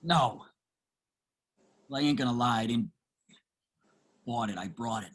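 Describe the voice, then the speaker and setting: A husky-voiced man acted by a man at a table reading, down on his luck and hopelessly in love. He speaks plainly and haltingly, repeating himself as he earnestly pleads his case. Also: medium pitch, a bit bright. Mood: earnest pleading. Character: lovestruck, pleading down-and-out man, plain-spoken war veteran.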